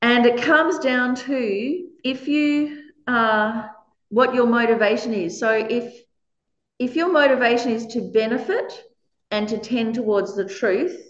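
A loud-voiced woman teaching Buddhist ethics, frank and quick to laugh between her points. She talks to a gathered audience in a roomy space, and the recording sounds a little dull and muffled. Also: a little high. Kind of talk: dharma talk answering questions.

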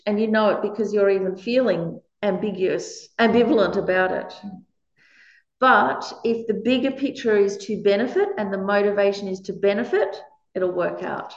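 A woman with a loud voice, giving a frank Buddhist dharma talk to an audience and laughing often between points. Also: medium pitch. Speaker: Buddhist teacher. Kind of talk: dharma talk answering questions. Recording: roomy, slightly dull recording.

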